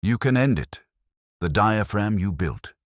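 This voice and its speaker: A man's voice: fairly low pitch, animated, measured pace, dry recording, clean studio sound, pitched above the performer's own voice. A man narrating a story as a stern, precise detective with a clipped, formal, grimly controlled manner. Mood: grim, urgent.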